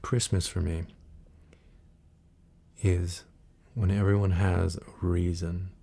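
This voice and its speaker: A man speaking softly in an ASMR style, breathy and gentle, at times close to a whisper. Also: sits low, level tone, takes it slowly. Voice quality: soft, breathy, husky, sometimes near a whisper. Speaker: ASMR video creator and reader. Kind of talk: soft-spoken ASMR talk and poem reading.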